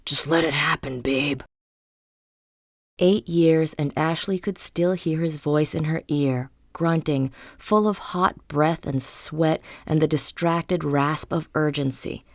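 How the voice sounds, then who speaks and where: A woman reading literary fiction as an audiobook narrator, in a measured, dramatic storytelling manner. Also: low-pitched, no echo, studio quality.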